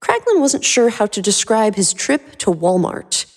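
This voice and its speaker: A woman's voice: medium pitch, big pitch swings, a little slow. A woman narrator reading a scripted story.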